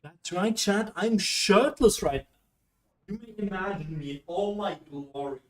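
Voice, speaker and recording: A man's voice: high voice, unhurried, rough recording. A man who is a native German speaker, streaming a video game with casual, rambling, self-deprecating commentary.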